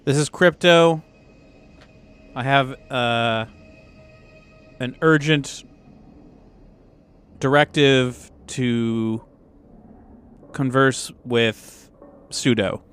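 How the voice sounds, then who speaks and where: A man playing an official computer program in a tabletop game, with a formal, deliberate, halting manner. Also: medium pitch, fairly even intonation, a bit bright, smooth, faint music behind.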